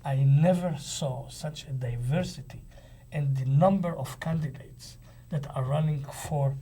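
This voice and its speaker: An older man with an Israeli accent speaking as a panel guest on politics, phrasing things deliberately and emphatically. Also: on the high side, warm tone, breathy edge. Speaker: author and journalist, panel guest. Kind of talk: political discussion.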